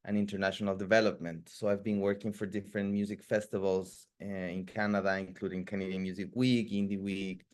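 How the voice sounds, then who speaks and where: A man giving an informal talk as a guest speaker, reflective and hesitant, often pausing and repeating words. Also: sits low, level tone, a little mellow. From Ecuador. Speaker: guest speaker, music producer. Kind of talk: informal community talk presentation.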